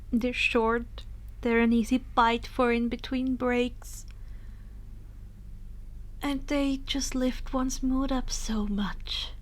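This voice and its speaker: A high-voiced woman reads as a fan fiction narrator. Her pace is slow and lingering, with expressive storybook delivery that softens into breathy, hushed phrasing.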